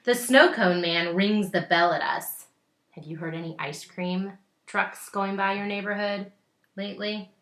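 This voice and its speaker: Female teacher, bright tone, children's read-aloud with engaging asides and questions, roomy echoey recording. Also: medium pitch, fairly even intonation, unhurried. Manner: engaging, asks the listeners simple questions. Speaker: teacher reading a picture book aloud.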